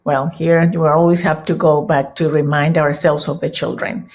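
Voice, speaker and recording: A middle-aged woman from Peru with a Peruvian Spanish accent, giving a conference talk in a halting way with many restarts. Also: low-pitched, little pitch movement, darkish tone. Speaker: conference speaker. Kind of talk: conference presentation.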